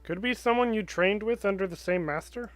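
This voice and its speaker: Higher-pitched man casually streaming a game. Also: fairly quick, a bit bright, no room sound, soft background music. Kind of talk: casual live stream commentary.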